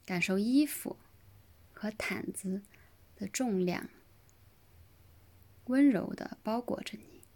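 Slow, gentle woman guiding meditation. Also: mid-range voice. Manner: gentle, soothing, unhurried phrasing with long pauses. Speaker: yoga nidra meditation guide. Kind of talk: guided relaxation meditation.

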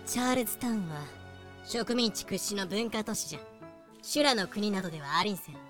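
A woman's voice: mid-range voice, expressive, brisk, a bit bright, lightly acted. Girl doing a haughty old-timey wolf lady auctioneer, courtly talk, voice drama w music under it. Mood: proud. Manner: old-fashioned courtly speech, proud. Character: haughty archaic-speaking wise wolf woman slave auctioneer. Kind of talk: fan-made voice drama acting. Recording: music behind the voice.

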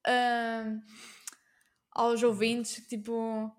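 Higher-voiced woman with European Portuguese accent. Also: monotone, very slow. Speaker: podcast co-host. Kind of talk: casual conversation.

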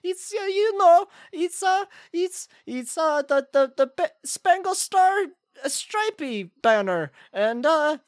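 A woman's voice: very high voice, animated, dry recording, studio quality, pitched above the performer's own voice, exaggerated voice. Bumbling caller, fake Swedish accent, woman co-host doing the voice, stumbling, sing-song delivery. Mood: goofy. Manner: halting, full of uhs and broken words. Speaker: podcast co-host.

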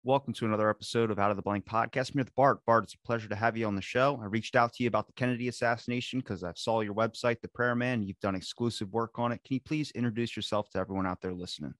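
Very fast-talking man hosting a podcast. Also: on the low side, fairly even intonation, a bit bright, dry recording, studio quality. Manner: brisk, welcoming guest introduction. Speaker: podcast host. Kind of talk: scripted show intro.

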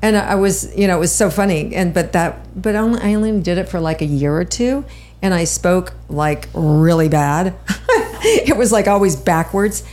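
A woman chatting on a podcast as host and spiritual channeler, rambling with quick laughs. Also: fairly low pitch, darkish tone. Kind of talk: casual chat.

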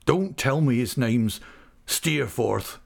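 Man playing a gravelly, stricken older seaman. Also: medium pitch, animated, slow, exaggerated voice. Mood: dreading. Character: rough older seafaring man, stricken, fiercely devoted uncle. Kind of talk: dramatised audiobook character.

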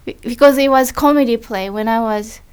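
Woman guest, Japanese accent, halting storyteller in a casual interview. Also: a little high, a little mellow, smooth. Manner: halting, searching for words, storytelling. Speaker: comedian guest. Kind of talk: interview chat.

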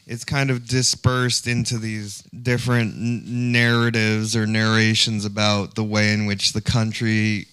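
A man's voice: lowish voice, little pitch movement, unhurried, hardly any pauses, a bit bright, smooth, dry recording. A man talking as a panelist in a casual, intellectual discussion. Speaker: podcast panelist.

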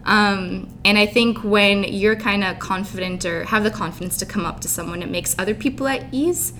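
Chatty young woman, noisy recording. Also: fairly bright tone. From Canada and Dubai. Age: young adult. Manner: chatty, informal, outgoing. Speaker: interview guest. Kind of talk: casual chat. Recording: clear background rumbling noise.

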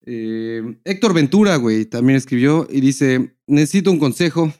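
A Mexican man with a mellow, soft-edged voice at a middling pitch co-hosts a podcast. He chats casually and reads listener messages aloud, with crude, slangy humor. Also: normal speed. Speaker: podcast co-host.

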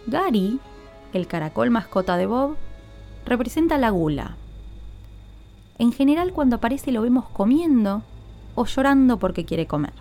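A woman's voice: fairly high pitch, sing-song, moderate pace, pauses often, dark tone, faint music behind. A woman narrating a scripted podcast in an explanatory way, speaking directly to listeners. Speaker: podcast host.

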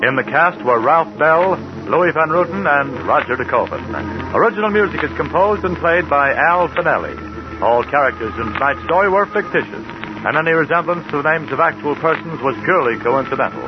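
A man reading credits as an old-time radio announcer in a formal, measured style. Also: a little high, expressive. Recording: muffled old radio recording. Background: music.

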